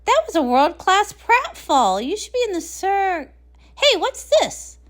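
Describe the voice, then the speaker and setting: A woman's voice: very high voice, sing-song, unhurried, pitched above the performer's own voice, full character voice. A woman voicing a bubbly, scatterbrained female party pony, bouncy and chatty, in a cartoon comic read-along. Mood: cheerful, bubbly.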